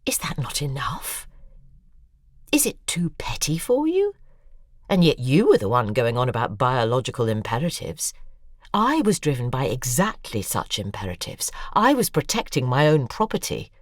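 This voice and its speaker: A woman's voice: extremely low pitch, sing-song, moderate pace, dry recording, clean studio sound, heavily acted. An actress playing a cold, haughty woman in an audio drama, speaking in an articulate, disdainful and cutting way. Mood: bitter contempt. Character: cold, haughty older woman, scornful former object of a crush. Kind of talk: audio drama acting, reading out emails.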